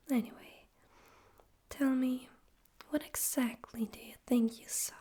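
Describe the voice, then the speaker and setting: Smug radio demon overlord played by a woman, ASMR roleplay. Mellow breathy whisper, higher-pitched, teasing and condescending. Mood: calm menace. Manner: slow, teasing, theatrical, condescending. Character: smug male radio demon overlord, politely menacing and amused.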